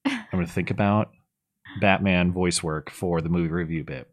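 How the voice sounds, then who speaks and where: A man hosting a call-in talk show, rambling and enthusiastic as he thinks out loud with his caller. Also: sits low, normal speed. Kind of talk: casual call-in chat.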